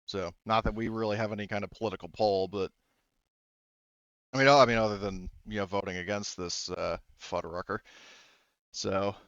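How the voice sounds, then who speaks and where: Male game master, deep-leaning and smooth, rambling, halting narration, close dry but slightly muffled sound. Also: steady tone, a bit bright, no room sound. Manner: rambling, halting, self-interrupting. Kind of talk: casual tabletop game narration.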